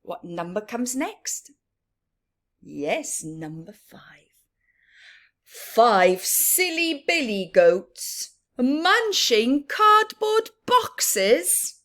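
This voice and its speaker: Female children's story reader. Higher-pitched, highly varied intonation, very unhurried, teacherly and sing-song, engaging small listeners. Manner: sing-song, expressive, prompting listeners with questions. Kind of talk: interactive picture book read-aloud for young children.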